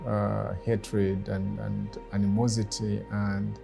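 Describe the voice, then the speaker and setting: A middle-aged man with a Rwandan accent, a native Kinyarwanda speaker, talking reflectively in a deliberate, measured way with pauses. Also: fairly low pitch, completely flat delivery, vocal fry, dark tone, faint music behind. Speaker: guest speaker, former ambassador. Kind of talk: reflective personal talk.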